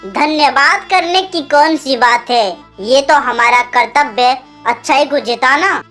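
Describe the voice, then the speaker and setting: A woman doing a soft, kind magic bird. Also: high-pitched, measured pace, over music. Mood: reassuring. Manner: soft, reassuring.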